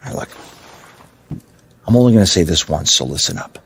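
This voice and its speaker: Blunt veteran coach, lively intonation. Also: male voice, low-pitched, vocal fry, husky, exaggerated voice. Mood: firm, urging. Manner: blunt, firm, measured. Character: stern, frank veteran basketball coach, male, tough but supportive. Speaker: basketball coach. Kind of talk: video game cutscene dialogue.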